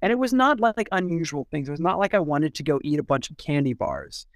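A man in a casual podcast discussion as an editor, talking in a halting, rambling way and repeating words as he forms thoughts. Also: fairly high pitch, sing-song, dry recording, studio quality. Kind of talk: casual discussion with a short read-out.

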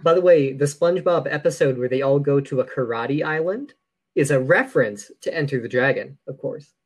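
A man's voice: medium pitch, brisk. A man co-hosting a podcast, bantering casually about movies with wry, joking asides. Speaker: podcast co-host.

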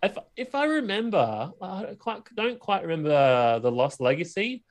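Casually chatting man, slightly higher voice. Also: big pitch swings, normal speed. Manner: conversational, checks in with rhetorical questions. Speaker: podcast co-host. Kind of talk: casual movie review chat.

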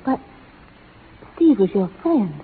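Husky, dramatic young schoolmarm. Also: female voice, medium pitch, very animated, slow pace, exaggerated voice. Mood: horrified, accusing. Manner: earnest, dramatic delivery. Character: principled, refined young schoolteacher, passionate and unbending. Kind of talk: 1930s radio melodrama acting. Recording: tinny, muffled 1930s radio recording.

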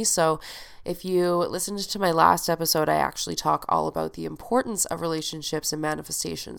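A woman's voice: lowish voice, vocal fry, smooth. A young woman hosting a podcast in casual, chatty talk, sounding nasal and stuffed up from a head cold and swearing freely. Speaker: podcast host.

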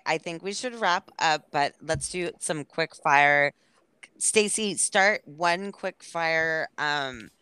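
Female podcast host, unhurried and smooth, close dry sound, conversational. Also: low voice, bright, forceful tone, no room sound. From San Francisco Bay Area, USA. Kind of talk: casual chat.

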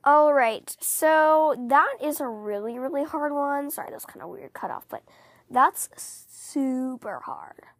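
Female podcast host, very high voice scratchy with a cold, chatty rambling fan talk, dry close sound. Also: slow, no room sound. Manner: giggly, rambling, animated.

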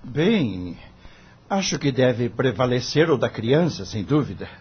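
An actor playing a thoughtful, unsure male student with a husky voice and deferential manner in a Brazilian radio drama. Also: medium pitch, sing-song, measured pace, exaggerated voice. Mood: troubled, pondering. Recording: dull lo-fi audio.

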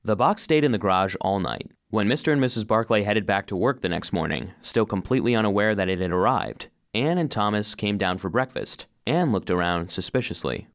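Picture an earnest robot vacuum telling a children's story. The voice sits fairly low but swings expressively through each line, recorded close and dry. The delivery is scripted, loyal and a little anxious. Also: male voice, animated, fairly quick, few pauses, no room sound. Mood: earnest, storytelling. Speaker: narrator. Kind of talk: scripted first-person children's story narration.

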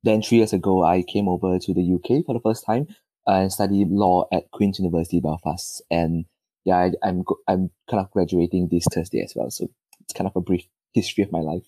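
A man's voice: low voice, fairly even intonation, fairly quick, warm tone, no room sound, rough recording. A young man in his twenties from Malaysia, answering interview questions in a hesitant, modest way and often restarting his words. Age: 20s. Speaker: guest.

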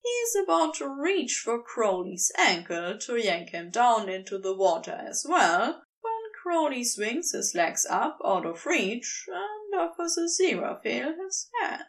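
A woman reading scripted fiction aloud as an expressive story narrator. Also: a little high, a little slow, few pauses, distant mic. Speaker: podfic reader.

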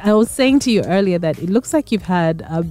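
Female radio host, animated pitch, curious interviewer, light music bed. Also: brisk. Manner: warm, curious, affirms guest with frequent mm-hmms. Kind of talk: casual interview chat.

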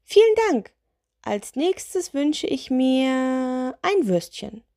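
A woman's voice: sits high, big pitch swings, very slow, warm tone, no room sound, clean studio sound, pitched above the performer's own voice, exaggerated voice. A woman voicing a little fearless witch in a children's story, cheerfully unbothered, cheeky and matter-of-fact.

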